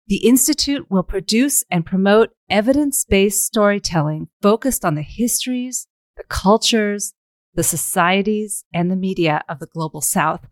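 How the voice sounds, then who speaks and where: A woman hosting a podcast, reading a polished introduction and then asking interview questions in a warm, enthusiastic way with slow, deliberate phrasing. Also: medium pitch, animated, dry recording. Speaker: podcast host. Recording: dry voice with faint music behind.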